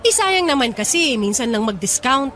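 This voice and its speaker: A woman's voice: sits high, sing-song, normal speed, fairly bright tone, soft background music. A woman with a Filipino accent playing an emotional, vulnerable young woman in a radio drama. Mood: giddy, carefree. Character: tearful battered young woman, frightened and clinging.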